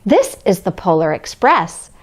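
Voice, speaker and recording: Woman as a hearty, animated train conductor. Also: fairly low pitch, unhurried, husky, exaggerated voice. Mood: jovial. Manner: emphatic, calling out to children. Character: hearty male train conductor, jovial and commanding. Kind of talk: children's storybook character voice.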